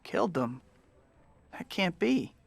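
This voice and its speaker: A man's voice: high-pitched, sing-song, slow pace, vocal fry, husky, no room sound, exaggerated voice. An actor playing an earnest, put-upon young male superhero in a video game, with a wry manner. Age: young adult. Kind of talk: scripted video game acting.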